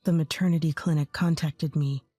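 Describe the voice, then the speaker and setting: A woman doing a gentle remorseful husband. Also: deep voice, steady tone, moderate pace, dry recording, faint music behind, pitched below the performer's own voice. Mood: earnest.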